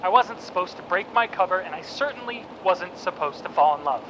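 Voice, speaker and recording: A man's voice: high voice, lively intonation, a little fast. An actor playing a desperate captive spy pleading urgently with his wife over a radio link in an audio drama. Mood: confessing, regretful. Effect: radio transmission. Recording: dull radio transmission, noisy ambience.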